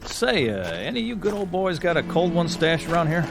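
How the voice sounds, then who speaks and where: A cynical army corporal in a radio play, talks in sarcastic slang. His fairly high voice swings up and down freely, and music plays underneath. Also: sing-song, brisk, vocal fry. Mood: relieved, wry. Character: cynical, wisecracking army corporal, a restless skeptic. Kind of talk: radio drama acting.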